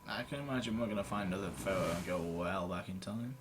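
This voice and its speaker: A man's voice: sits low, fast talker, background noise. A man giving casual let's play commentary, thinking aloud in a hesitant way. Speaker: gamer narrating a playthrough.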